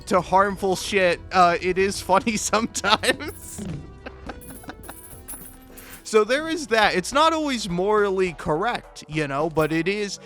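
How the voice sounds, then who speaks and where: A man with a slightly high voice talks over faint background music. He rambles through a comedic monologue, tripping over and repeating words as he interrupts himself. His delivery is sweary and self-deprecating. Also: fairly bright tone. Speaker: video maker.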